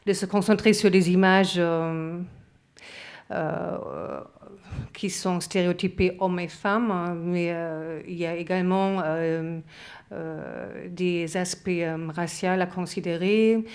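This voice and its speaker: Slow, low-voiced German-accented woman. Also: steady tone, darkish tone. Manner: hesitant, many fillers. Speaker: association president and magazine photo editor. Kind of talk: conference talk. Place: conference room.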